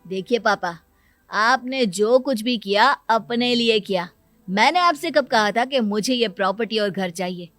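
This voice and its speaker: A woman's voice: fairly high pitch, expressive, unhurried, a bit bright, no room sound, soft background music. A woman voicing a headstrong grown son in his twenties, arguing firmly in a cartoon story. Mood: reproachful. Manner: firm, argumentative. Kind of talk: cartoon moral-story acting.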